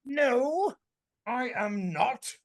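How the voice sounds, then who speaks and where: Table read: grumpy old man played by a woman, high, slow, wildly swinging delivery. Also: animated, heavily acted. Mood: exasperated, whiny. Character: grumpy, dramatic old man, disheveled and whiny.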